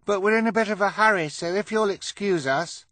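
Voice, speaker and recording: An anxious but polite storybook boy, acted by a man. He sounds hurried, in a bright voice pitched well above the narration. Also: no echo.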